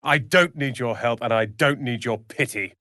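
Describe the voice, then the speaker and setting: A man performs a young male apprentice wizard for a fantasy video game. He is haughty, ambitious and prickly, with crisp, sardonic phrasing. His mid-pitched voice has a sharp, forceful edge and sits very dry, with no room around it. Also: normal speed, dry recording, lightly acted. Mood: haughty, irritated. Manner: haughty, clipped, sardonic, articulate. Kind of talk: dramatic video game voice acting.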